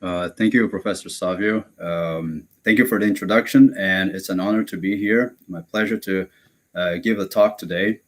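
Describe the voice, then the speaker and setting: Brazil-born male lecturer, very low voice, halting and filler-heavy. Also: fairly even intonation. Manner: hesitant, many fillers and restarts. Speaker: guest lecturer. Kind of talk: academic webinar lecture.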